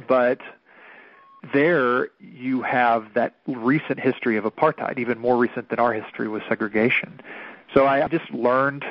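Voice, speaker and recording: Minister, late thirties, from Oklahoma; earnest, reflective interview guest, dull radio sound. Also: male voice, medium pitch, no room sound. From Oklahoma, United States. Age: 30s. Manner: earnest, thoughtful, measured storytelling.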